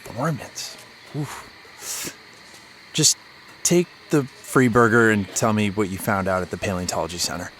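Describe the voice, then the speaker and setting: A man's voice: fairly low pitch, measured pace, fairly bright tone, slight background noise. A man playing a laid-back, sarcastic, worn-out radio DJ who teases his way through an audio drama scene. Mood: sardonic. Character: scruffy, sardonic broken-down male radio DJ. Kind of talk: naturalistic audio drama dialogue.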